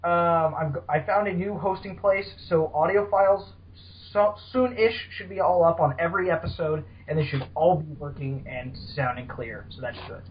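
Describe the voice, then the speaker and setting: A man's voice: on the high side, moderate pace, few pauses, a little hiss or hum. A man hosting a casual podcast chat, sarcastic and mock-dramatic, swearing freely. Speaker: podcast host. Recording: dull, lo-fi podcast audio.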